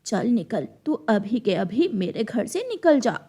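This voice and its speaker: A woman's voice: on the high side, big pitch swings, unhurried, very noisy. A woman voicing a harsh, hot-tempered stepmother bird in a cartoon story, scolding and snappish. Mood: angry.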